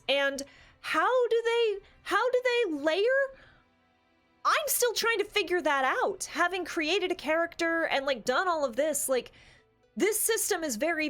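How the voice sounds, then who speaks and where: A high-pitched woman talks casually as a podcast co-host about tabletop gaming. She is animated and opinionated, as if thinking out loud among friends. Faint music plays behind her.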